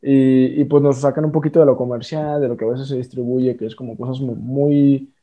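A man's voice: mid-range voice, little pitch movement, brisk, phone-quality sound. A man hosting a casual podcast interview, friendly and a bit hesitant, full of fillers. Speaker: podcast host. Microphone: remote online call.

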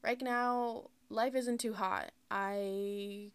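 A woman's voice: medium pitch, fairly even intonation, very slow, clear, resonant tone, no echo. A young woman of about twenty hosting her own podcast, talking candidly in a rambling, casual way with lots of "like" fillers. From United States. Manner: candid, rambling, heavy use of "like". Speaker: podcast host. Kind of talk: casual solo podcast talk.

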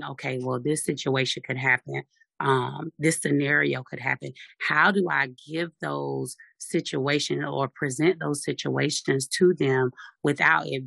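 A speaker with a deep, raspy voice, talking firmly and assertively in a casual discussion. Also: bright, forceful tone, no room sound.

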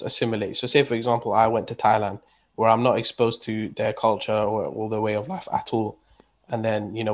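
A man talking casually as a guest, rambling with many restarts and fillers. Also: on the low side. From England. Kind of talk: casual conversation.

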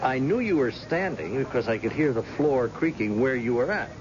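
A dull, noisy old radio recording of a man acting a blind ex-cop, proud and self-mocking. He talks in a folksy, halting way, his pitch moving freely as in classic radio drama. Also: lively intonation, close to an everyday voice. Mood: teasing. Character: blind ex-police husband, proud, jovial, self-deprecating tough guy.

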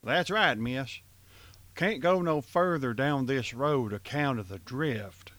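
A man's voice: medium pitch, slow, fairly bright tone, no echo. A man playing an easygoing, folksy rustic sleigh driver with countrified speech in an old-time radio drama. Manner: folksy, rural dialect grammar.

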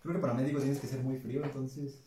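A man's voice: medium pitch, level tone, fast, a crowd in the background. A nineteen-year-old man with a Mexican accent, chatting casually as co-host, with laid-back slangy banter and frequent laughs. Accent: Mexican Spanish. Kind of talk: casual chat. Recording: echoey room, mic at a distance, faint noise.

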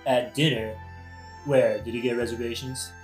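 A man's voice: medium pitch, steady tone, normal speed, lightly acted. Exasperated scheming king played by a man, echoey. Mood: exasperated, stern. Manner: dry, blunt, colloquial. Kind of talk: modern-language satirical audio play acting. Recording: roomy echo, faint music behind.

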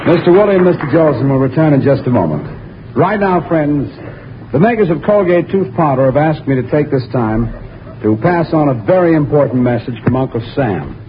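A man's voice: on the high side, animated, brisk. A man announcing in polished 1940s radio style, reading a scripted appeal with earnest emphasis. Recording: muffled 1940s radio, faint noise.

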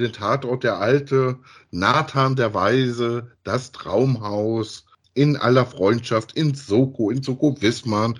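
A man with an ordinary mid-range voice. He talks casually as a podcast co-host, in loose colloquial phrases, often adding a short tag to check agreement. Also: mid-range voice. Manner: colloquial, often tags sentences with "ja". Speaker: co-host of a podcast about radio plays. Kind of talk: casual conversational chat.

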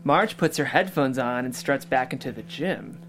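A man's voice: mid-range voice, lively intonation, normal speed, a bit bright. A man reading scripted stage directions as the narrator of a table read.

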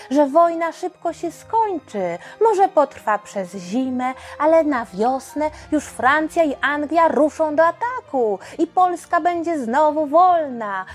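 Female podcast storyteller, higher-pitched, slow delivery, colloquial and expressive, for a children's history tale. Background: background music.

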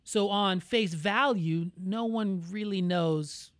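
A man with an American accent co-hosting a podcast, talking in a candid, reflective and measured way. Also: sits high, no room sound. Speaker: podcast co-host. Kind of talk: casual conversational podcast.

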